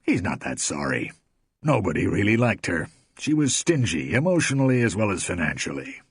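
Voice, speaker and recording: A man's voice: low voice, big pitch swings, normal speed, slightly rough, dry recording, studio quality, full character voice. Roman, a commanding coven leader, as a man voices him. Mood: persuasive. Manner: commanding, coaxing. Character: smooth persuasive male coven leader, elderly.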